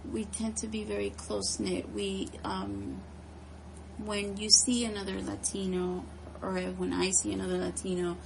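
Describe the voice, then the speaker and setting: A woman from the United States answering interview questions conversationally, in a hesitant, halting way as she thinks aloud. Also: mid-range voice, vocal fry, darkish tone, a little hiss or hum, poor audio. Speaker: interviewee, home care nurse.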